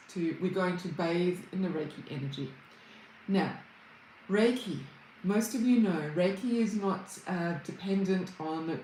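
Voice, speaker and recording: A woman's voice: on the low side, mellow, roomy sound, slight background noise, poor audio. An Australian woman, a Reiki healer hosting a casual livestream, chatting and greeting viewers by name.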